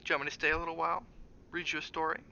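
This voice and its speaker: A man voicing an anxious, gentle young mother who speaks softly and soothingly, in a slightly muffled recording with faint hiss. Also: on the high side, level tone, very fast, gravelly, pitched above the performer's own voice, heavily acted. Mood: gently questioning. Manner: soft, soothing, worried.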